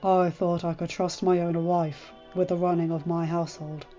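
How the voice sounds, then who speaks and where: Stern furious lord guy read by a woman, cold clipped lines, shouts a lot, dull lo-fi audio w/ faint music. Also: fairly low pitch, level tone, moderate pace, lightly acted. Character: stern, furious middle-aged male lord.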